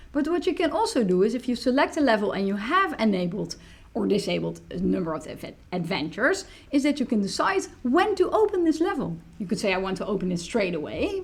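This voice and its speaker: A woman in her thirties, a native Dutch speaker, explaining a software tool step by step in a screen-recorded tutorial from a small room. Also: on the high side, husky. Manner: explanatory, walks through clicks step by step. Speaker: teacher tool demonstrator.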